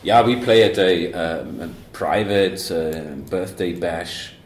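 A man's voice: deep voice, takes it slowly, reverberant, a little hiss or hum. A man in a band, talking casually with many fillers and restarts, joking now and then, in a university coffee room. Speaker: band member and guest. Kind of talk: casual interview chat.

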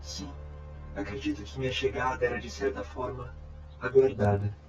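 A man playing a calm, courteous robot time traveler in an audio drama, speaking in formal, measured reports. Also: medium pitch, close to an everyday voice. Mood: calm, factual. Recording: echoey, dull amateur sound, music behind.

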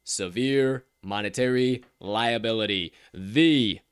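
A man's voice: lowish voice, slow, clean tone, no echo, clean studio sound. A man co-hosting a sports betting show, giving picks in an emphatic, preachy way and repeating catchphrases. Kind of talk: energetic betting picks chat.

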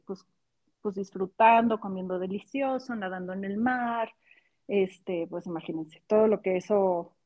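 Guest: woman in her 40s, mid-pitched, chatty storyteller with fillers. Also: narrow, tinny audio. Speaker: podcast guest. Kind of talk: casual storytelling.

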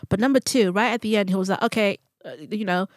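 A woman's voice: medium pitch, fast, no room sound, studio quality. A woman from Zimbabwe co-hosting a Bible study podcast in casual conversation, acting out remarks and asking rhetorical questions. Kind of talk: casual conversational religious discussion.

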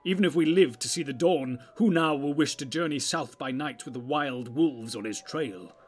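A man's voice: on the high side, normal speed, a little hiss or hum, lightly acted. A man playing a grave, commanding old wizard in a fantasy audiobook, speaking in weighty, archaic, oratorical phrases. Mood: urgent.

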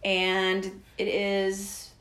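A middle-aged mother co-hosting a casual chat podcast, teasing and chatty, often pausing on fillers. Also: mid-range voice, monotone, fairly bright tone. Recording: echoey room.

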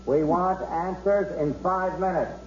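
A stern cop issuing formal orders, his highish voice ringing with echo. It comes from a dull old radio drama recording. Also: fairly even intonation, measured pace, slight background noise. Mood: commanding. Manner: formal, commanding announcement. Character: stern police officer calling out to hostage taker. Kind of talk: 1970s radio drama acting.

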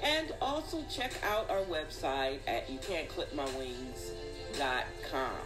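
Chatty host doing a casual motivational talk, mid pitched voice, rambly and encouraging. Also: expressive, slow, over music.

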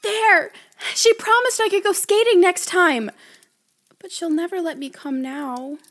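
A woman's voice: extremely high pitch, unhurried, a bit bright. A woman playing a petulant, pleading young little sister in an audio play, whiny and urgent. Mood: sulky.

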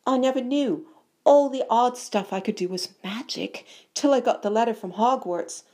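An eager little schoolboy played by a woman reader chattering in a raised pitch. He gushes breathlessly like a starstruck fan in a storybook, tumbling along with excitement. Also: normal speed, natural voice. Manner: excited, gushing.

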